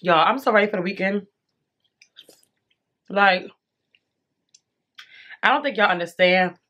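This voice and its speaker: A woman's voice: medium pitch, measured pace, bright, forceful tone. A young woman vlogging casually to camera from her bedroom, chatty and eating as she talks, a little congested. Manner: chatty, addresses viewers as y'all, eats while talking. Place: bedroom at home.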